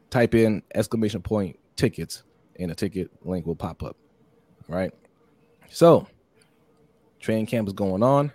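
A hoarse man hosting a casual live-streamed sports podcast, upbeat and repetitive, reading out viewer comments and giving shout-outs. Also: on the low side, no room sound. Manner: upbeat, repeats phrases, many shout-outs. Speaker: podcast host.

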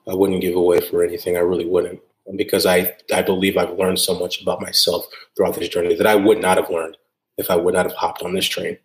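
A man's voice: low voice, level tone, a little fast, reverberant, low-quality recording. A man hosting a solo podcast episode, talking earnestly and repeating phrases for emphasis, often adding "right?". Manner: frequent tag "right?". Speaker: podcast host.

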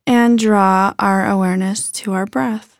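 A woman leading a guided body scan meditation in a gentle, unhurried manner with soft phrase endings. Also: medium pitch, fairly even intonation, dry recording, clean studio sound.

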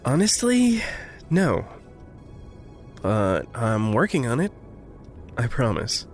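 Audio drama role: gentle, wry young man, halting and playful, animated intonation, unhurried. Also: mid-range voice, soft background music. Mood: halting, sheepish. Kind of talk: naturalistic audio drama acting.

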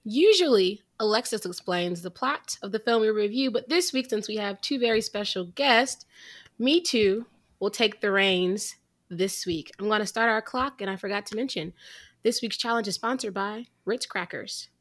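A woman's voice: medium pitch, lively intonation, normal speed. A woman co-hosting a podcast in casual, playful chat, laughing easily. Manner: playful, laughs often, sings jokey intros. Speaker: podcast co-host.

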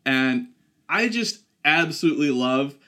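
A man co-hosting a casual podcast, talking with enthusiasm and acting out lines in a lively way. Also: on the high side, measured pace. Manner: enthusiastic, acts out quoted lines. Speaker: podcast co-host.

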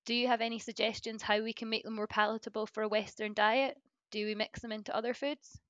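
A woman's voice: on the high side, flat delivery, fast, fairly bright tone, dry recording, muffled recording. Woman interviewer, dry close sound. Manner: plain, direct questioning. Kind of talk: interview questions.

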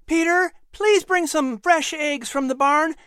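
A woman voicing a busy colonial mother in an audiobook, firm yet gentle and cheery. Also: high voice, a little slow, no echo, clean studio sound, pitched above the performer's own voice. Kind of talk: audiobook character voice.

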